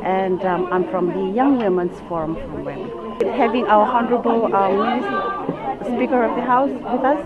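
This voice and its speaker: A young woman with a Fijian accent giving a halting interview answer as a participant. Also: mid-range voice. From Fiji. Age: young adult. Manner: hesitant, halting. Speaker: workshop participant. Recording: muffled, loud crowd in big space.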